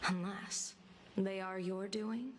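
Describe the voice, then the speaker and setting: A woman's voice: mid-range voice, steady tone, slow, a little hiss or hum, close to an everyday voice. A woman voicing a solemn, stern diviner in a video game, with formal, archaic wording. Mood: stern, threatening.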